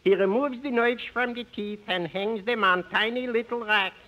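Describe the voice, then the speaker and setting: A man's voice: high-pitched, normal speed, dull audio, natural voice. A man playing a genial, silly comic character with a Yiddish accent and singsong, emphatic delivery in 1950s radio comedy. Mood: genial, earnest. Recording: noisy old 1950s radio recording.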